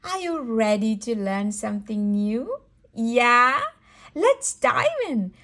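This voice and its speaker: A woman's voice: on the high side, big pitch swings, slow pace, a bit bright. A woman leading a read-aloud word lesson for toddlers, sing-song and encouraging, repeating words for a small child. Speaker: children's educator and host.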